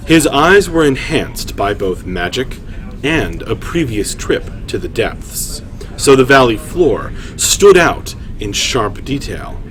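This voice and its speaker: A man hosting a story reading, shifting between informal, halting chat and measured storytelling narration. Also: mid-range voice. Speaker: writer and host reading his own story aloud. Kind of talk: livestream story reading, narration with casual asides.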